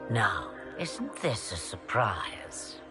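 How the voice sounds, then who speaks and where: An imperious old crone from a fantasy game. She drawls slowly and theatrically, her pitch swooping with sly mockery, as music swells behind her. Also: wildly swooping pitch, exaggerated voice. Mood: amused, mocking. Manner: theatrical, drawling, archly amused. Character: sly, imperious ancient witch, mocking old grandmother. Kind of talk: dramatic video game cutscene acting.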